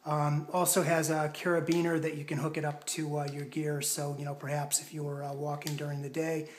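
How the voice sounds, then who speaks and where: A man giving a casual product demonstration in a measured, explanatory and somewhat hesitant way. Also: a little high, level tone, a little hiss or hum. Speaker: product reviewer.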